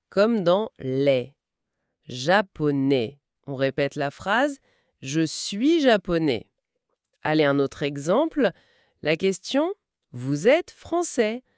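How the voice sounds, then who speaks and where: Woman language teacher, slow and carefully articulated, lively pitch, dry close sound. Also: no echo. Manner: slow, clearly articulated, modelling phrases for repetition. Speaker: French teacher. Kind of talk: slow scripted language lesson.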